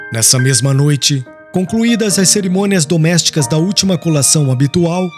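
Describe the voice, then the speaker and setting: A man narrating an audiobook in a reverent, expressive reading. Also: high voice. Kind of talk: scripted narration. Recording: background music.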